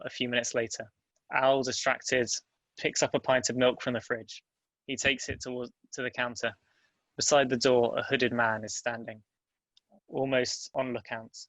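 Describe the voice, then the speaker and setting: Bright, even-toned male narrator. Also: mid-range voice, dry recording, rough recording. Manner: plain, even reading. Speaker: narrator reading stage directions. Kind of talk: scripted narration at a table read.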